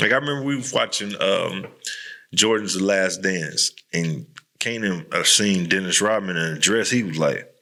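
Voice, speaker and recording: Guy podcast host w a low bright voice, blunt and sweary, just chatting his opinions. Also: moderate pace. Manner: blunt, profanity-laced, slangy. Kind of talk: casual chat, candid opinion.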